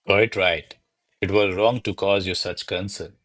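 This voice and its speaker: A man's voice: sits low, steady tone, a little slow, fairly bright tone, no echo. A man playing a deferential, flustered servant to a noble lady in a radio play, speaking formally and placatingly. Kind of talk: radio play acting of a classic comedy.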